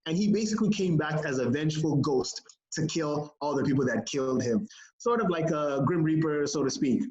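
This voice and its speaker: A man co-hosting a casual sports podcast, animated and laughing often, with plenty of fillers. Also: a little high, brisk, lo-fi sound. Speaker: podcast co-host.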